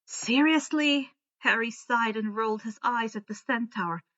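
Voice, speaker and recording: A woman reading a story aloud in expressive audiobook-style narration. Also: on the high side, normal speed, dull audio. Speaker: story reader.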